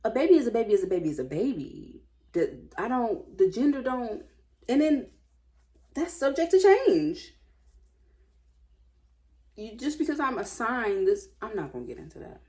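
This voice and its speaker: A woman's voice: a little high, very animated, normal speed, pauses often, mellow. An American woman casually reading stories aloud with informal, sassy and blunt running commentary.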